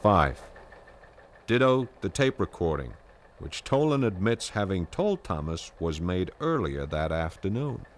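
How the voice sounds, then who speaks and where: An actor playing a methodical police lieutenant in a 1970s radio drama, speaking in a crisp, businesslike way. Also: male voice, sits low, sing-song, a little slow, background noise. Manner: crisp, methodical report reading.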